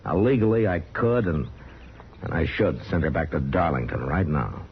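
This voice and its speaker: An actor playing a steady, tactful but firm marshal, plain-spoken and measured, in a 1950s radio western. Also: male voice, extremely low pitch, full character voice. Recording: dull, noisy old radio recording.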